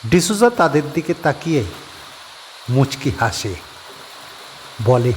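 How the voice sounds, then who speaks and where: A man narrating a horror audio story in a steady, measured storytelling voice. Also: mid-range voice, a little hiss or hum, low-quality recording.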